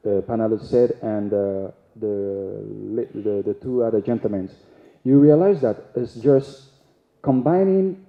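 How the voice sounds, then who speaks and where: A man speaking as a panelist at a conference, explaining step by step with some halting restarts. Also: lowish voice. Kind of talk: panel discussion talk. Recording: echoey hall, dull sound.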